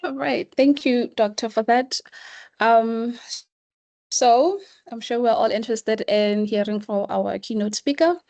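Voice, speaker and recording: Higher-voiced woman moderating, dry sound. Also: unhurried, mellow, no echo, highs cut off. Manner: formal, reads a biography aloud. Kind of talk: formal introduction.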